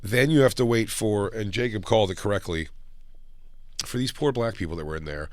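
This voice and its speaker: A man co-hosting a podcast in casual, rambling chat, swearing often and getting loud when animated. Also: fairly low pitch, brisk, no room sound. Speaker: podcast co-host.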